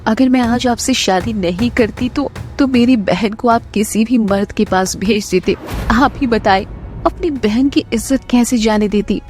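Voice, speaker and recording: A woman's voice: on the high side, unhurried, darkish tone, soft background music, natural voice. Woman acting a distraught, pleading young captive bride. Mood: defiant, hurt. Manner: anguished, pleading, emotional delivery. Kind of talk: Hindi romance audio drama acting.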